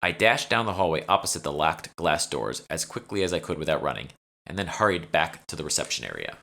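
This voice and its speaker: A man with a deep voice narrates a first-person audiobook. His tone is bright and forward, in the manner of an author reading his own story. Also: sing-song, a little fast, vocal fry.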